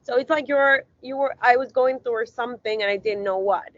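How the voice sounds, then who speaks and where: A woman from Ecuador talks earnestly and at length, stringing thoughts together with frequent fillers. Her voice sits a little high. The sound is dry and dull, like a lo-fi video call. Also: normal speed, dry recording. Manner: rambling, earnest, many fillers. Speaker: guest, visibility strategist. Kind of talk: casual interview talk.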